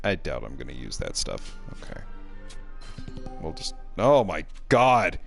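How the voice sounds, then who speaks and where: A man's voice: a bass voice, wildly swooping pitch, a little slow, vocal fry. A man giving casual, grumbling and sarcastic commentary while playing a video game with a friend. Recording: game music behind the voice. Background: video game music.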